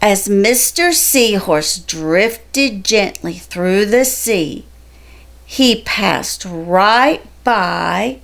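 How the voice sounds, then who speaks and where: Very slow-paced woman reading a children's story. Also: medium pitch, gravelly. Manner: slow, deliberate, storytelling delivery. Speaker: storybook reader. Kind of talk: read-aloud of a children's picture book.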